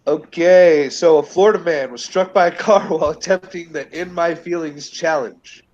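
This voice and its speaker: A man's voice: on the high side, moderate pace, darkish tone. A man with a stuffed-up cold reading a news article aloud as a stream co-host, in a loose casual way. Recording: dull lo-fi call audio.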